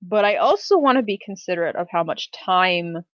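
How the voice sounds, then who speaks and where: A woman's voice: on the low side, expressive, normal speed, no echo. A woman role-playing at a tabletop game in casual, playful table talk, on a dull recording.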